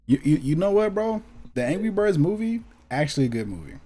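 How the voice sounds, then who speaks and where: A man's voice: a little high, big pitch swings, a little fast, warm tone. An American man co-hosting a casual talk about movies and anime, slangy and opinionated, often repeating himself for emphasis. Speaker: podcast co-host.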